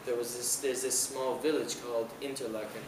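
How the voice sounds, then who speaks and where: A man's voice: medium pitch, little pitch movement, a little fast. A young man from Iran answering questions in a casual outdoor interview, hesitant, with many restarts and fillers. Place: outdoors on an island, city nearby. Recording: roomy, noisy outdoor camera audio from a distance.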